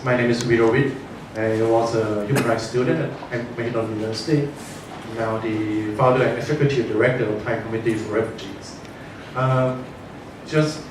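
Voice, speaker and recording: Earnest man speaking from a far, echoing hall. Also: medium pitch. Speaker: audience member asking a question. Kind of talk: question from the floor at a panel discussion. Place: large conference room. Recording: echoey hall from far off, noisy.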